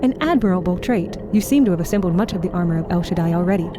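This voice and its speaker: A woman's voice: on the low side, animated, rapid-fire, background noise, lightly acted. A woman playing a noble, earnest guide in a fantasy audio drama, speaking formally. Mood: welcoming, earnest. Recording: music bed under voice.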